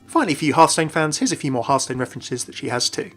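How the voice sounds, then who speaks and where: Male game channel host, slightly high voice, scripted explainer narration, light music bed. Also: expressive, quick pace, fairly bright tone. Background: background music.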